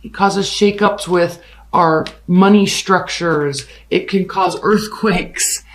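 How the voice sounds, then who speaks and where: A tarot reader giving a casual love reading, the voice raspy and hoarse from overuse, with a blunt, emphatic pep-talk delivery. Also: medium pitch, slow pace, slight background noise.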